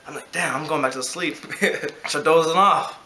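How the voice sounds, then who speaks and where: A young American man telling a story casually and excitably in slang, often with laughter in his voice. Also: fairly high pitch, normal speed, a little hiss or hum. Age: young adult. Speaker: vlog co-host telling a personal story. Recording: echoey room sound.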